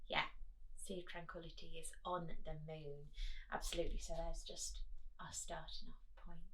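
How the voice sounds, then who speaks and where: A woman reading a picture book aloud to children, expressive and speaking directly to her young listeners with chatty asides. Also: medium pitch, distant mic, low-quality recording.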